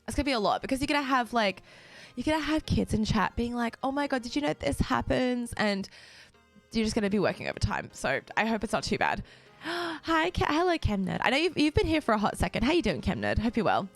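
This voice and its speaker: Bubbly young woman streamer, lively voice. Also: on the high side, soft background music. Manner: bubbly and chatty, laughs often, quick asides to chat. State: slightly raspy, just woken up. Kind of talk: casual chat with a live stream audience.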